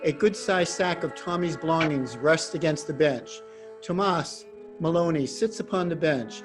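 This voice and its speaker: A male narrator with a slightly high voice reads scripted stage directions for a readers theater drama. Faint music plays behind him. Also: moderate pace.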